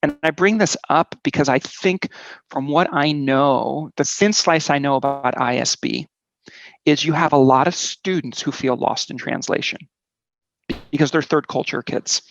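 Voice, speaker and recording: A man's voice: a little high, a little slow, vocal fry, dry recording, highs cut off. A man in his forties, raised in Switzerland, telling his personal story in a conversational talk, with many "um"s and a tag of "right?". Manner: conversational, frequent "right?" and "um" fillers. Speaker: guest speaker.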